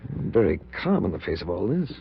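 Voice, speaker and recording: An actor playing a scientist's uneasy, God-fearing colleague in a 1940s radio horror drama, hesitant and grave. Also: male voice, sits low, sing-song, brisk, gravelly, exaggerated voice. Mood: troubled, hesitant. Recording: muffled, noisy 1940s radio recording with hum.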